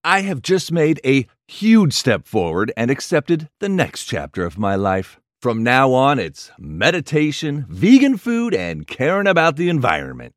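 An actor playing an excitable, boastful party man in his late fifties in an audio drama. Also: mid-range voice, measured pace, few pauses, no echo, studio quality. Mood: earnest, defensive.

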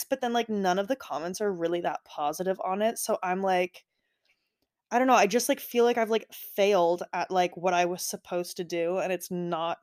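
A woman's voice: medium pitch, a little mellow. A young woman hosting a podcast, chatting casually and rambling with lots of "like" fillers. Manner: rambling, heavy use of "like". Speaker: podcast host. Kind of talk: casual personal chat.

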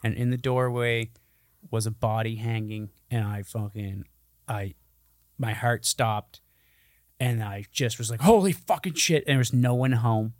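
A man's voice: medium pitch, unhurried, no echo, clean studio sound. A man co-hosting a podcast in casual chat, swearing freely and joking crudely. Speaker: podcast co-host.

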